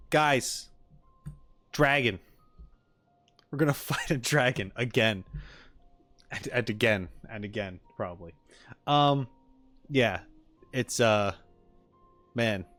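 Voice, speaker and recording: A man reacting casually on a livestream, wry and halting, often repeating his phrases. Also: mid-range voice, a bit bright, faint music behind. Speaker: streamer reacting to game audio.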